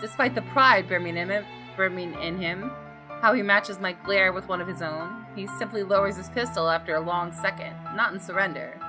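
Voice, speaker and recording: A woman's voice: mid-range voice, normal speed, natural voice. A woman reading visual novel narration dramatically, voicing the thoughts of an arrogant, menacing gun owner. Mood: arrogant, brooding. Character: haughty, menacing gunman homeowner narrating his thoughts. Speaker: gaming video host. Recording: clear music behind the voice.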